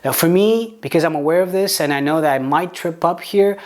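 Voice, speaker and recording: A man whose first language is Portuguese, speaking English with a Portuguese accent, teaching pronunciation in a video lesson and demonstrating words slowly. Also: on the high side. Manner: explains with examples, slow deliberate demonstration words, some stammered restarts.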